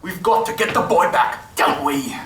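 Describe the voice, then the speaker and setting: Man acting a bullying thief, high, sharp voice. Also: lively intonation, moderate pace. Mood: threatening. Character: Bill, brutish bullying male thief, short-tempered. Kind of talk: stage radio play acting. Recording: distant echoey stage, faint noise.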